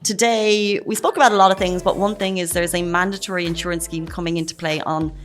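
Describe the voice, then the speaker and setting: A woman's voice: fairly low pitch, fairly even intonation, fast. A woman with an Irish accent, hosting a talk show in an upbeat, chatty presenting style. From Ireland. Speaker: podcast and radio show host.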